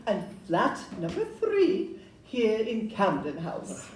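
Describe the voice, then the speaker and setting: Actress as animated, persuasive Victorian estate agent, roomy. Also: female voice, medium pitch, slow pace. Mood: businesslike. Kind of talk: stage performance of an audio play. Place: live indoor performance in a club room. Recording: roomy distant live recording, background noise.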